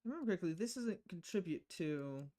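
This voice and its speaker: A woman's voice: fairly low pitch, animated, quick pace, mellow. A woman streaming a game, casually talking through her playthrough.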